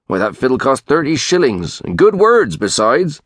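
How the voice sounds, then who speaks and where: Audiobook role: exasperated middle-aged West Country villager played by a male reader, emphatic, very animated pitch, close dry sound. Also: normal speed, breathy edge, dry recording. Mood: indignant grumbling. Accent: rural English West Country. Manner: sonorous, rustic, emphatic. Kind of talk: audiobook character voice.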